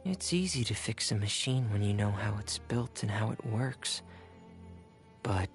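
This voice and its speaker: An actor playing a shy, gloomy, gentle teenage boy diver in a video game, soft, breathy and hesitant. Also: on the low side, animated, a little slow, soft background music. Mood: wistful. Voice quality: soft, breathy, near-whispered. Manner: hesitant, reserved, melancholy. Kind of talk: subdued video game character voice lines.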